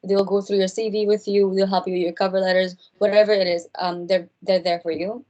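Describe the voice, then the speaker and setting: A woman's voice: mid-range voice, little pitch movement, fast talker, dark tone, highs cut off, a little hiss or hum. Earnest young woman student presenter. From India. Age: young adult. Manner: friendly, earnest, hesitant with fillers. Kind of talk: informal presentation.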